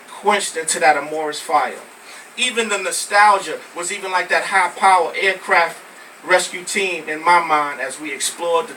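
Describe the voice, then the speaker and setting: An American man from Brooklyn performs spoken word poetry in an emphatic, deliberate way. His voice is bright and slightly high. He is recorded at some distance from the mic, in a live venue. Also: slight background noise. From Brooklyn, New York, United States.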